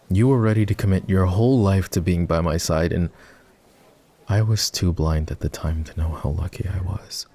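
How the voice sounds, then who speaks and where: Male actor for remorseful ex-boyfriend boss in ASMR romance audio: mellow, husky man's voice, tender, halting and confessional. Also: exaggerated voice. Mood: pained, earnest. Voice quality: husky, gravelly low voice. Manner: soft, hesitant, confessional. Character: regretful, tender ex-boyfriend turned office boss. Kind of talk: ASMR boyfriend roleplay, intimate scripted monologue.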